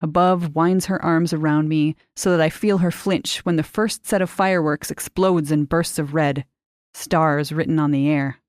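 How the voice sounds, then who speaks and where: A woman with a low voice narrates short fiction. Her delivery is measured and expressive, captured close and dry, with no room sound. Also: dry recording. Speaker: short story reader. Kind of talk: scripted literary narration.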